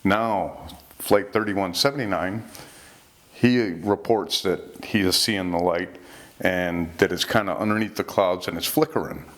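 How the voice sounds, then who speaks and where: A man with a low voice hosts an informal storytelling talk. He recounts incidents conversationally, relaying what was said in plain reported speech. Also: a little slow, fairly bright tone. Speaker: host recounting UFO reports.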